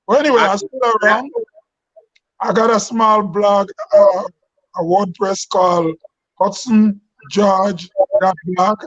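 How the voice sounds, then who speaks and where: A Grenadian man talks as a guest on a show, halting and restarting his phrases. His voice sits high. The sound is dry and a little dull and lo-fi. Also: fairly even intonation, fairly bright tone, dry recording. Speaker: guest, writer. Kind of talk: talk show conversation.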